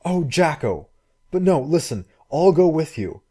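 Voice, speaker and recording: A man's voice: a little high, very animated, mellow, slightly rough, pitched above the performer's own voice, exaggerated voice. A man putting on a frail, tearful young woman. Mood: eager. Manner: wailing, halting and breathless.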